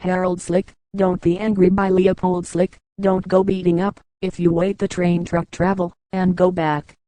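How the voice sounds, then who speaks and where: A woman voicing a stiff cartoon announcer who gives orders in a flat, stilted, scripted monotone. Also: on the low side, normal speed, a little hiss or hum, lightly acted. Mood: flat, commanding.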